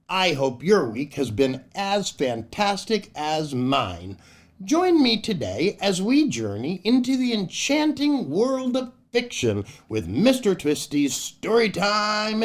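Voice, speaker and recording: An older man hosting a children's storytime show, telling jokes and reading aloud in a playful, theatrical way. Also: high voice. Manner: playful, exaggerated, theatrical delivery. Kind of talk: animated kids' show hosting, joke telling and read-aloud.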